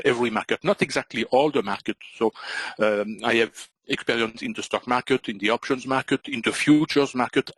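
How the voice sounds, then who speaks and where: Belgian French-accented man, webinar instructor, halting delivery, dry sound. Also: mid-range voice, a bit bright, dry recording, low-quality recording. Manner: halting, many "uh" and "so" fillers. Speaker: trading instructor. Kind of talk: webinar presentation.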